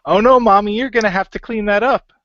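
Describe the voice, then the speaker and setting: A hapless flirt acted out by a man in an audio role-play. He stammers and wheedles, his pitch jumping high into a whine. The recording is dry and a little dull. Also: fairly even intonation, quick pace, fairly bright tone, no room sound. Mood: whiny yelping. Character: bumbling, hapless flirtatious man named David.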